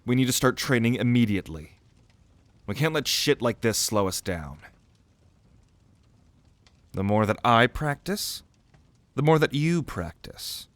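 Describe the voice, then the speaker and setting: A man's voice: medium pitch, expressive, unhurried, long pauses, no room sound, close to an everyday voice. A man playing a cocky, sardonic young prince at a mage academy in an audio roleplay, with a swaggering, theatrical manner. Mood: determined. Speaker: ASMR roleplay voice actor.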